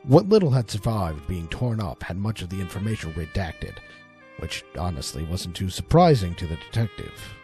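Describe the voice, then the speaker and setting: Male storyteller for scripted drama: low register, lively intonation, faint music bed. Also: moderate pace. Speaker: narrator.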